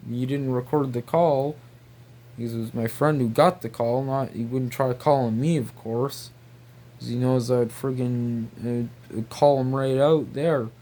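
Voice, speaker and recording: Male, unhurried pace, mid-pitched voice; off-the-cuff commentary, halting and disbelieving. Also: steady tone, warm tone. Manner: incredulous, repetitive, halting. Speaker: video creator. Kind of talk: casual rambling commentary.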